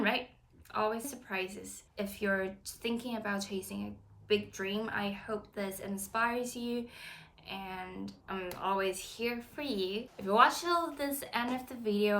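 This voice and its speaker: A woman's voice: mid-range voice, fairly bright tone. A woman in her twenties from Taiwan, a native Chinese speaker, talking to camera in an earnest, encouraging vlog. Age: 20s. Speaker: vlogger. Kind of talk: casual talk to camera.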